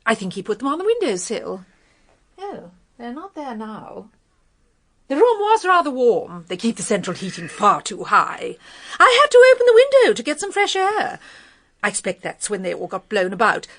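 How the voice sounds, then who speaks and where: A woman's voice: fairly high pitch, wildly swooping pitch, moderate pace, fairly bright tone, heavily acted. An actress playing a chatty, gossipy married woman in a radio mystery, airy, rambling and breezily opinionated. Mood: breezy, helpful. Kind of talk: British radio mystery drama.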